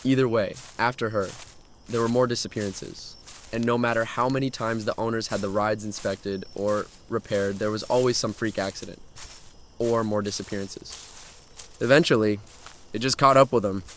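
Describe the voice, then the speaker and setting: A man's voice: on the low side, fairly even intonation, normal speed, a bit bright, slight background noise, lightly acted. A man playing an adventurous, thrill-seeking young man who tells his story in a horror audio drama. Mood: eager, intrigued.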